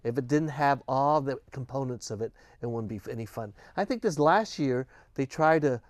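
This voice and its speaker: An older man whose first language is Cantonese talks in an earnest, unhurried way, with a few false starts as he answers. His voice sits at a middle pitch. The sound is dry and close. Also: dry recording. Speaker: festival volunteer guest. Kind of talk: casual interview answers.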